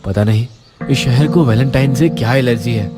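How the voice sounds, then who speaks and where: A man's voice: mid-range voice, moderate pace, natural voice. A man playing a moody, bewildered young man in an acted horror story, dramatic and brooding. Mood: grumbling. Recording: long hall reverb over music.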